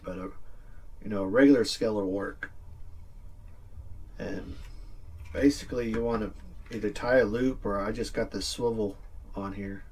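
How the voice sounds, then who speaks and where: Low, husky man explaining, distant mic. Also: a little mellow. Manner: plain-spoken, informal explaining. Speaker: fishing video presenter. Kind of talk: casual instructional demonstration. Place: small indoor room with green screen backdrop.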